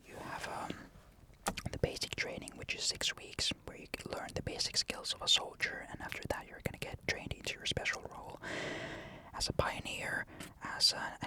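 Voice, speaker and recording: A young man from Finland with a Finnish accent, answering comments in a casual, matter-of-fact ASMR whisper. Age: young adult. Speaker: ASMR creator. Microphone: USB condenser mic.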